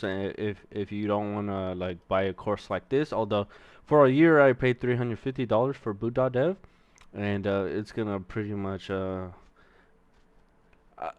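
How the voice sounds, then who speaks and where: A man's voice: lowish voice, clean tone, no echo, muffled recording. A young man with a Mexican-American accent, casually swearing and thinking aloud as he works through coding exercises on a stream. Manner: profane, rambling, thinks aloud while typing. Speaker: streamer learning to code.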